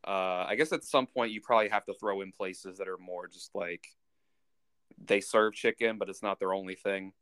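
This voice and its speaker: A man hosting a casual sports podcast, talking in a halting way as he thinks out loud. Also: low voice, clear, resonant tone, no echo, clean studio sound.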